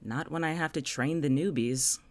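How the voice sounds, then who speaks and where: A man's voice: a little high, moderate pace, lightly acted. Man playing a dry, sardonic young man. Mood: teasing, deadpan. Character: sardonic, stubborn young man, quietly wounded. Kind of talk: naturalistic audio drama acting.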